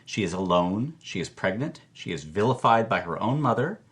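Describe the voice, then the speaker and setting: Grave, low-voiced actor as a film professor. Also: male voice, very animated, moderate pace. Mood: grave sympathy. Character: grave, dramatic male film professor commenting. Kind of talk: documentary commentary.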